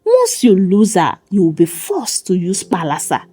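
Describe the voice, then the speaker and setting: A woman voicing a playful, smitten young man who flatters dramatically in a folk tale. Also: mid-range voice, a little slow, husky, heavily acted. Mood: playful, dramatic.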